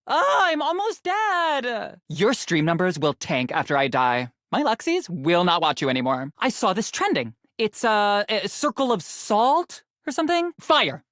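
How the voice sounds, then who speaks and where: A woman's voice: medium pitch, very animated, measured pace, few pauses, fairly bright tone, no room sound, studio quality. A woman voicing a vain, snarky young female influencer character in a video game, self-absorbed and full of social media speak. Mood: snarky, dismissive. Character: vain sassy young female influencer lamp, fame-obsessed.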